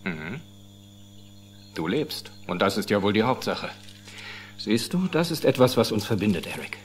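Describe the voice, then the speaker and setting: A male actor playing a calm, kindly middle-aged paleontologist in a film dub, speaking in a measured, quietly dry way. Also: fairly low pitch, faint music behind. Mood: dry, appreciative. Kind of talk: German film dubbing dialogue.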